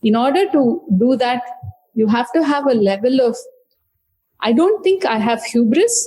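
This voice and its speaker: A woman author, talking through her book in a thoughtful, halting way with many fillers and restarts. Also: on the high side, expressive, mellow, rough recording. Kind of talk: conversational book discussion.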